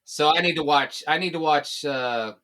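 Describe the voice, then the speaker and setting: A man's voice: on the high side, moderate pace, bright, forceful tone. A man in casual conversation as a podcast co-host. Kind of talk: casual chat with questions.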